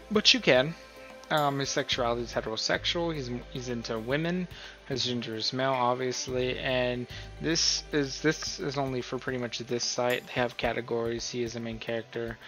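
Guy rambling through a chill how-to, bright forceful voice, faint music behind him. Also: mid-range voice. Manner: informal, rambling explanation. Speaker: host of a role-play tutorial. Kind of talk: casual screen-recorded tutorial.

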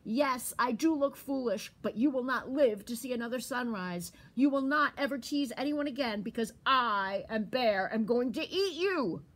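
A woman voicing a big, mean, grumpy male bear in a children's story, growling with slow emphasis. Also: on the high side, expressive, a bit bright. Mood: menacing. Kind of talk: storybook read-aloud for children.

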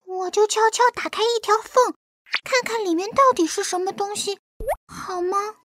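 Cartoon storybook: woman doing timid girl, very high, stammers. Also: normal speed, fairly bright tone, a little hiss or hum. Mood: pleading. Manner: stammering, frightened. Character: timid fibbing young girl, later a queen.

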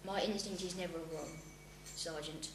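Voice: mid-range voice, fairly even intonation, normal speed, close to an everyday voice. A school pupil playing a stern, self-assured male police inspector in an amateur radio play, with stumbling delivery. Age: child, about 12 to 13. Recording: echoey room, clear hum.

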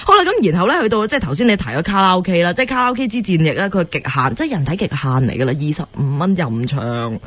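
A woman with a Hong Kong accent co-hosts a comedic radio show. Her speech is quick and her pitch moves around a lot, in a close, dry studio sound. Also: animated, fairly bright tone, no room sound. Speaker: radio host. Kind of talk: casual comedic radio chat.

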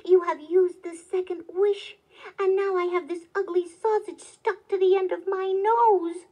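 A woman's voice: high-pitched, lively intonation, unhurried, darkish tone, low-quality recording. A woman voicing a grumbling, emphatic male woodcutter in a children's storybook. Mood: angry, dismayed. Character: plain-living, grumbling male woodcutter and husband.

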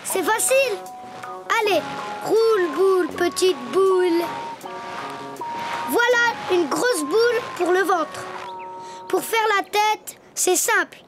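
A little donkey boy in a preschool cartoon. The voice is very high and childlike, taking its time over each playful exclamation. He is cheerful and full of ideas, with music behind him. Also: slow pace, pauses often. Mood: eager, playful. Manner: playful, self-narrating, exclaiming. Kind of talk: French preschool cartoon voice acting.

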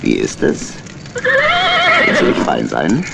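A man playing a fatherly, shrewd uncle badger with a husky voice and a protective manner in an audio play. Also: extremely low pitch, wildly swooping pitch, slow, heavy background noise, voice pushed down, heavily acted. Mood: urgent, scheming. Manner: protective, reassuring.

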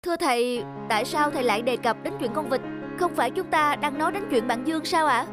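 A woman's voice: sits high, fast, lightly acted. A woman voicing an earnest, respectful young male student in a cartoon, speaking formally. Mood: indignant. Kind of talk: cartoon dubbing. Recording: music under the voice.

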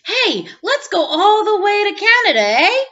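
Woman, 30s, high and very lively read-aloud for young children, playful and expressive. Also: unhurried. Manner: expressive, playful exclamations and sound effects. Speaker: teacher reading aloud. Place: small room at home, indoors. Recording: dull lo-fi webcam audio.

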